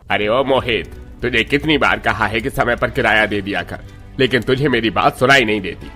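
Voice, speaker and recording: Harsh landlord for a cartoon tale: tense, forceful, domineering and scolding, music underneath. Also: male voice, on the low side, moderate pace. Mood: angry, threatening. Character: harsh, threatening male landlord demanding overdue rent.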